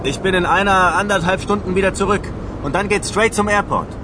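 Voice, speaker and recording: An actor in a radio drama playing a brash, hurried son of a mobster. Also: male voice, sits high, lightly acted. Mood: brisk. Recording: loud car and street noise.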